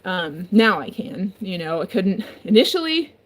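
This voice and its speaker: Woman, slow, halting confessional vlogger; low, smoky, damaged voice with heavy vocal fry. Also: big pitch swings, rough recording. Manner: halting, restarting, emotional. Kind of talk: confessional personal vlog.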